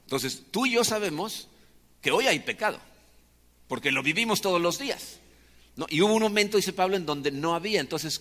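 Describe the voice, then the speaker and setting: Mexican preacher in a reverberant hall. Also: male voice, high voice, a bit bright. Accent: Mexican Spanish. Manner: chatty, asks rhetorical questions. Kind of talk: conversational sermon.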